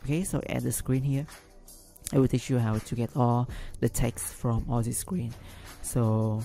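A man hosting a screen-recorded software tutorial, talking through each step with frequent ums and restarts, English not his first language. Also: medium pitch, steady tone, unhurried, gravelly, faint music behind. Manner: hesitant, many ums and restarts. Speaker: business analyst tutorial host.

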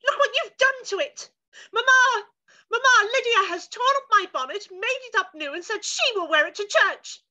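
A whining teenage girl of a period drama, extremely high and piercingly bright. She is petulant and plaintive, forever aggrieved. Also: animated, normal speed, full character voice. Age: young adult. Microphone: video call.

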